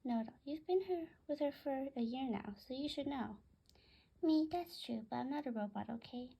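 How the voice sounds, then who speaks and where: An excitable little storybook cockatiel, voiced by a woman reading aloud. The bird speaks in a very high, soft-toned voice with an insistent, chattering manner. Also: moderate pace, vocal fry, breathy edge, heavily acted. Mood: protesting.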